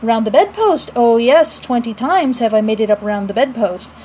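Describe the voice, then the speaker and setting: A woman's voice: high voice, expressive, brisk, a little mellow, slight background noise. A woman voicing a pompous, exasperated father in a storybook, with emphatic, sarcastic, declamatory delivery. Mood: sarcastic rage. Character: pompous, blustering father, melodramatically exasperated, middle-class Edwardian man.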